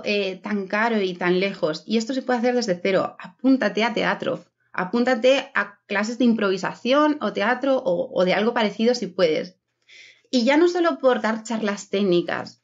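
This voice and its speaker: Chatty woman on a dull video call. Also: fairly high pitch. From Spain. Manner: chatty, encouraging, many fillers. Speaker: keynote speaker. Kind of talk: conference keynote over video call. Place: home room with red curtains. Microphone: webcam video call.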